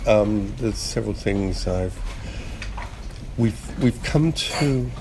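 Halting, very low-pitched man, noisy background. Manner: hesitant, halting, thinking aloud. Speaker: podcast producer, interview guest. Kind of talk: casual interview. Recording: clear steady ambient background noise.

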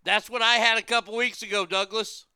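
A man hosts a casual talk radio show in a high, strikingly bright voice. He is loose, irreverent and crude as he reads out listener messages. The sound is dry and clear. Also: flat delivery, fairly quick, no echo.

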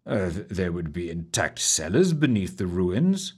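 A man voicing a cheerful, curious gentleman writer with a polished, genteel manner. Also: low voice, sing-song, measured pace, husky, full character voice. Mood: eager.

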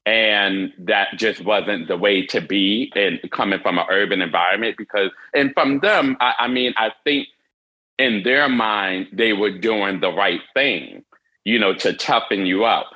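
A young man with hearing aids, an interview guest telling his personal story earnestly, halting with frequent restarts. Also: on the low side, bright, forceful tone, rough recording.

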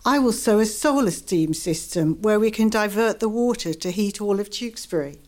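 A woman's voice: medium pitch, expressive, normal speed, a little mellow, close to an everyday voice. A woman playing an imaginative townswoman in a community radio play, speaking scripted lines. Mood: visionary. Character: imaginative townswoman picturing future river life.

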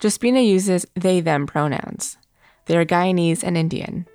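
A young woman chats casually as a podcast co-host. Her voice sits a little low for a woman, and the recording is dry and close. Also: a little slow, a little mellow, dry recording, faint music behind. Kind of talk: casual chat.

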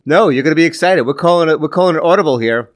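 A man co-hosting a casual podcast chat, talking in quick restarts and laughing often. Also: on the high side. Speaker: podcast co-host.

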